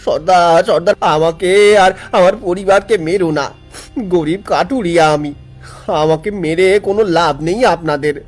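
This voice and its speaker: A man as an earnest, high-voiced woodcutter father. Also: moderate pace, soft background music, close to an everyday voice. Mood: pleading. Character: poor, determined woodcutter father protecting his family. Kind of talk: cartoon voice acting.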